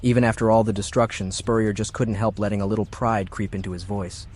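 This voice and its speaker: A man narrating a fiction audiobook in a measured, serious storytelling style. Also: lowish voice, level tone, a little mellow.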